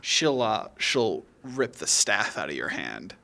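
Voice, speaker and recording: A man's voice: fairly low pitch, sing-song, slow pace, creaky, pushed and bright. A man acting as game master, improvising casual narration of a tabletop game in a loose, conversational way. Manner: loose, conversational, peppered with "like" and "kinda".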